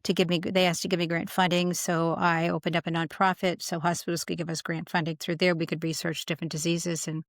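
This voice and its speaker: Older woman guest, slight Boston accent, candid storyteller, lowish voice, dry close sound. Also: level tone, no room sound. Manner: candid, storytelling, quick asides. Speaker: guest, integrative medicine coach. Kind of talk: casual interview chat.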